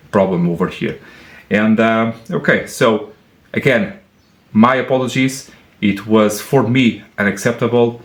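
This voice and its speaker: Portuguese-accented man in an echoey workshop. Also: lowish voice. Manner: halting, many restarts and fillers, earnest. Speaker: car mechanic and channel host. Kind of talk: apologetic casual vlog talk. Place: car workshop.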